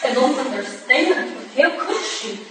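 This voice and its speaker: A woman's voice: sits high, expressive, unhurried. A woman playing a weary, sorrowful Russian ballet dancer, subdued and mournful, in a staged drama reading. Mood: sorrowful resignation. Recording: echoey hall, distant mic, noisy.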